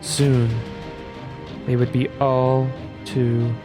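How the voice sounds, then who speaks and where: A man reading a scripted fantasy recap in a measured storybook manner. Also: mid-range voice, level tone. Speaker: narrator. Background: clear background music.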